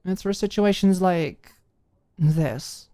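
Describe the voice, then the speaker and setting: A woman's voice: lowish voice, takes it slowly, mellow, no echo, studio quality, pitched above the performer's own voice. A woman voicing a tipsy, cocky young female rival in an audiobook, drawling, sarcastic and teasing. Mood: sarcastic, taunting.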